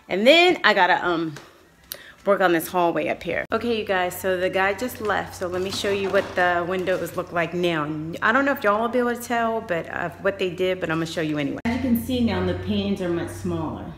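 A woman's voice: fairly low pitch, a bit bright. A woman, chatting upbeat to her viewers while she films on a phone at arm's length in her house and car. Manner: chatty and upbeat, addresses viewers as "you guys". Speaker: vlogger. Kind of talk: casual home vlog chat. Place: house rooms and a parked car. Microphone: handheld phone camera at arm's length.

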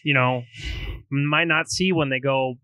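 A man's voice: mid-range voice, steady tone, measured pace, pushed and bright, clean tone, dry recording. A man in his thirties co-hosting a podcast, asking interview questions with an enthusiastic, affirming manner. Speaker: podcast co-host. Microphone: studio microphone on arm.

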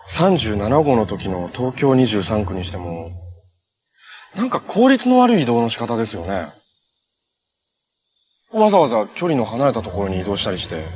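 A man's voice: medium pitch, darkish tone, natural voice. A man acting as a kind, easygoing young hero in natural television drama dialogue. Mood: serious, thoughtful. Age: young adult. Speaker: actor in a television superhero drama. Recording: noisy TV clip audio with ambience.

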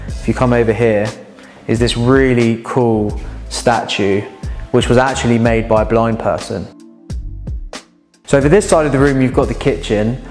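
A man's voice: mid-range voice, a little mellow. A young man with an upbeat, enthusiastic manner, casually showing viewers around a large house as he walks from room to room. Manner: upbeat, enthusiastic, conversational while walking. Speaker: house tour host. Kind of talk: casual walking house tour. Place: large house, indoors and garden.

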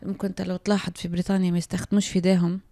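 Libyan woman guest on a podcast, talks quick, mellow tone, pauses to think. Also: on the low side, little pitch movement, no room sound, studio quality. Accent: Libyan Arabic. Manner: explanatory, thoughtful, with frequent pauses. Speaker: guest, applied linguistics lecturer. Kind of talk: casual conversation. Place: small home room. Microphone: handheld mic.

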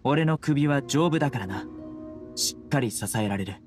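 Guy voicing stoic blunt young game traveller dude, deadpan, few words, music playing behind. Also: mid-range voice, normal speed, close to an everyday voice. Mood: stoic, calm. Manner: terse, deadpan. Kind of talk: Japanese game voice acting.

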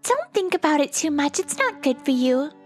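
A woman's voice: sits high, big pitch swings, normal speed, soft background music. A woman voicing a young actress who works undercover as a lunch lady, in a manga dub. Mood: earnest. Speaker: actress character.

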